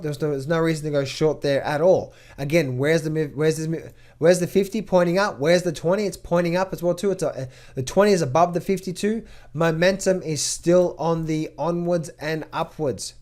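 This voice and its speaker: Male trading coach, slightly high voice, emphatic chart commentary full of rhetorical questions and repeated words. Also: darkish tone. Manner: emphatic, fires rhetorical questions and answers them; repeats words in quick bursts. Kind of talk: market update over charts.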